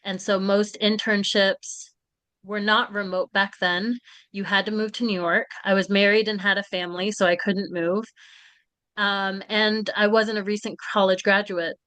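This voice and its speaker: A woman in her forties from Florida, a literary agent, talking in a measured, reflective way as an interview guest. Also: mid-range voice, flat delivery, fairly bright tone, clean tone, no room sound. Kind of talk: conversational interview.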